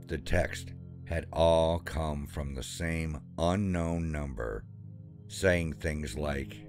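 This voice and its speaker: A man with an extremely deep voice narrates a first-person horror story. He speaks very slowly and deliberately, in a measured, ominous way. Clear music plays beneath the voice-over. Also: darkish tone.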